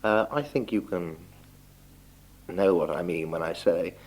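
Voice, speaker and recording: Low, creaky young man. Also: measured pace, a little mellow. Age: young adult. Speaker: doctor recording a message for relatives. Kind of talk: spoken letter, informal monologue. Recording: old 1960s home reel-to-reel tape.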